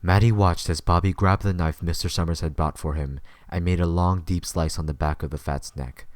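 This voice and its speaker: A man's voice: low-pitched, fairly even intonation, normal speed, no room sound, studio quality. A man reading scripted story narration as the narrator of an audio drama.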